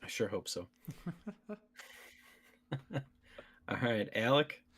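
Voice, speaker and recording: A man's voice: medium pitch, expressive, extremely slow, fairly bright tone, slight background noise. A man hosting a casual gaming podcast, chatting informally with his co-hosts. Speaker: podcast host.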